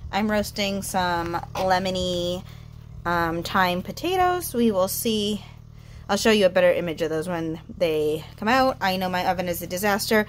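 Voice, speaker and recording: A woman vlogging casually as she cooks at home, chatty and relaxed with frequent ums, her voice a little congested. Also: mid-range voice, a little hiss or hum. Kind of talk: casual kitchen vlog narration. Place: home kitchen.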